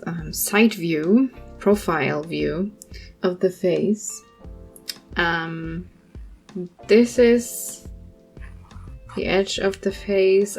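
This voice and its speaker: A woman hosting a draw-along at her art desk, chatting casually with lots of fillers, her voice a little hoarse. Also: on the low side. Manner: relaxed, rambling, many fillers. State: slightly hoarse. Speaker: art channel host. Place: home art desk, indoors.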